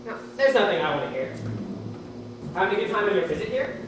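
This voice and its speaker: A man's voice: high-pitched, sing-song, fast. A man playing an earnest, awkward, polite young man on a blind date in a live stage comedy. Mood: eager. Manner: eager, polite, plain-spoken. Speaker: student stage actor. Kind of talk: live one-act stage comedy acting. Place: theatre stage, recorded from afar. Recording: echoey noisy stage, distant mic.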